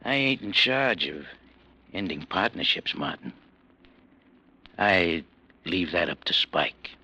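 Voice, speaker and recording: An actor playing a menacing gangster in a 1940s radio drama, talking in a low, coldly threatening way. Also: male voice, big pitch swings, slow pace, exaggerated voice.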